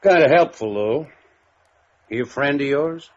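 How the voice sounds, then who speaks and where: An actor playing a folksy, shrewd small-town police chief in a 1950s radio drama, laconic in manner. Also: male voice, mid-range voice, expressive, measured pace, lightly acted. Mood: folksy, probing. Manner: laconic, folksy drawling idiom. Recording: muffled vintage radio recording.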